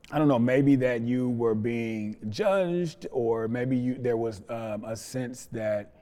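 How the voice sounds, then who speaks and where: Male interviewer, soft dark timbre, careful hesitant delivery, echoing hall-like sound. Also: mid-range voice. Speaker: podcast host. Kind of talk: conversational interviewing. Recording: long hollow room reverb.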